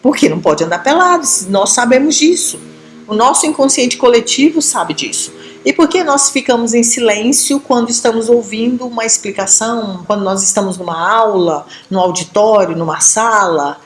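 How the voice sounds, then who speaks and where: A woman from Brazil teaches a sociology lesson in a fairly high voice. She lays out each idea patiently, often pausing to check in with quick tag questions. The room around her gives the voice a noticeable echo. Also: faint music behind. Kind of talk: explanatory classroom lesson.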